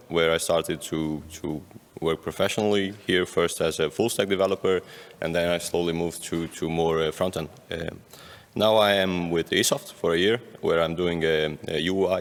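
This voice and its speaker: A man's voice: deep voice, normal speed. A man from Romania, introducing himself hesitantly as a developer. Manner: hesitant, with many fillers. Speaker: panelist, front-end developer. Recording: long concert hall reverb.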